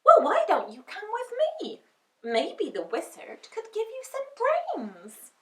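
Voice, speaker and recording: A woman playing a shy but plucky young girl in a storybook, earnest and expressive. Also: extremely high pitch, slow pace, voice pushed up, full character voice. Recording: roomy, distant microphone.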